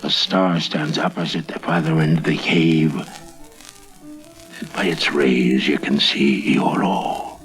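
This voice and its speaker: A man with a husky voice telling a ghostly folk tale in a theatrical, suspenseful storyteller's manner. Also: extremely low pitch, fairly even intonation, a bit bright, faint music behind, full character voice. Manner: theatrical, drawn-out suspenseful phrasing.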